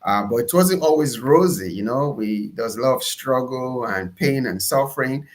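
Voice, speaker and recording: A man with a Nigerian accent and a medium-pitched voice talks as a guest in an interview. He tells his personal story conversationally, leaning on key words and repeating them for emphasis. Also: animated, moderate pace. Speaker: interview guest, entrepreneur and pastor.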